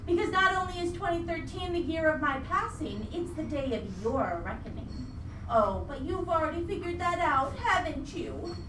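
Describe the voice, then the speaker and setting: A woman's voice: very high-pitched, big pitch swings, normal speed. A woman acting a vengeful, gleefully demonic female ghost in a live radio play, with a theatrical, taunting delivery full of wordplay. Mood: gloating menace. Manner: theatrical, taunting, pun-heavy. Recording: echoey live venue, distant mic, noisy.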